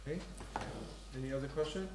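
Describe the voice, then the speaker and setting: A man chairs an academic panel, briskly taking questions and moving things along. He sounds far from the mic in a roomy hall, with noise underneath. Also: fairly high pitch, a little mellow. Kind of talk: moderating a panel. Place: conference hall. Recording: distant, roomy, noisy.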